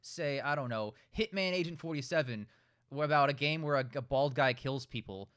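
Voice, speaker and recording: A man's voice: mid-range voice, a little fast, a bit bright, smooth. A man co-hosting a casual debate, talking in an argumentative way and swearing freely. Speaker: podcast co-host. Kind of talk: casual debate about movies and games.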